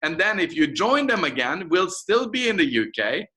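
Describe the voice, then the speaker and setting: A man from Norway with a Norwegian accent, speaking emphatically and repetitively as a company founder making a persuasive pitch in an interview. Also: big pitch swings, normal speed, pushed and bright.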